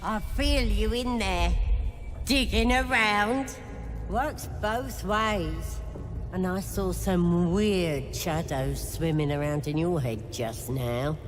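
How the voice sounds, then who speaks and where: A woman's voice: on the low side, takes it slowly. A woman playing a coarse, zealous goblin priestess in a video game, wheedling and bossy in folksy speech. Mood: coaxing, sly. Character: zealous goblin priestess, sly, commanding, folksy cult leader. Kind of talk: video game character acting. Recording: long reverb, music clearly behind.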